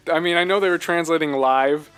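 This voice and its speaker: A man's voice: fairly high pitch, a bit bright, clear, resonant tone. A man co-hosting a casual podcast discussion about games, talking in an emphatic, enthusiastic fan's way. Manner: enthusiastic, emphatic fan talk. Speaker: podcast co-host. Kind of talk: casual video game discussion.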